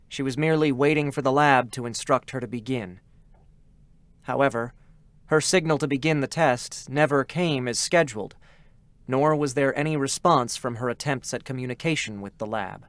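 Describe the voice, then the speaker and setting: A man's voice: medium pitch, fairly bright tone, dry recording. A man reading scripted story narration in a dramatic style, as the narrator.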